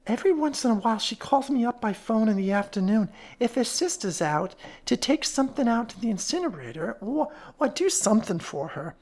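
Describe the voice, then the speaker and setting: A woman's voice: on the high side, expressive, a little fast, hardly any pauses, darkish tone, pitched above the performer's own voice. A woman voicing a stout, suspicious, fretful working-class caretaker's wife with colloquial, uneducated speech, in a storybook reading. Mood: puzzled, gossipy. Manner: stolid, colloquial uneducated speech, stammers when scared.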